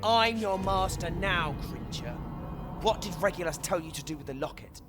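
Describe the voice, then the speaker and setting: A man's voice: sits high, animated, moderate pace. An actor playing a determined young male wizard on the run, firm and urgent in his questions. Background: game music.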